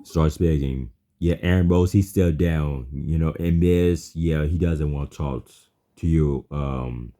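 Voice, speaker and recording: A man with an extremely deep, very dark and mellow voice talks casually. His phrasing is halting and repetitive, circling back over the same words in non-fluent sentences. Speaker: chatbot user. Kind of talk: casual spoken chat.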